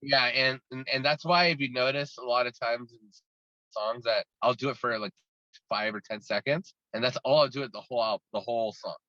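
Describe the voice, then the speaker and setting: A man's voice: mid-range voice, brisk, pushed and bright, no echo, lo-fi sound. A man talking casually as an interview guest, rambling and restarting his sentences often. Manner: talkative and rambling, many restarts. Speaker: guest musician.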